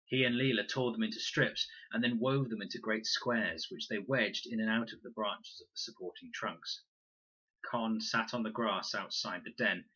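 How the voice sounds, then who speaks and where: A man reads a children's novel with deliberate, expressive storytelling. His voice is fairly low and rough-edged, with a bright, forceful delivery, captured in dull sound. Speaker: story reader, teacher. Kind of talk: scripted narration, children's novel read aloud.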